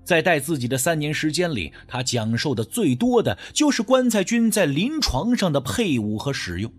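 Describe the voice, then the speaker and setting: A man's voice: fairly high pitch, big pitch swings, moderate pace, soft background music. A man narrating a suspense audiobook in a dramatic, scripted style.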